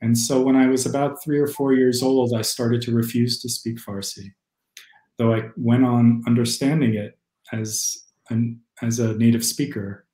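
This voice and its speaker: A young man speaks through a webcam from a room at home, his voice carrying a roomy echo. He talks in a thoughtful, hesitant way, pausing often and filling gaps with small sounds. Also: mid-range voice, fairly even intonation, warm tone. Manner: thoughtful, hesitant with many fillers. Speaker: poet and critic, guest. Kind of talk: video call conversation. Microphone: video call webcam.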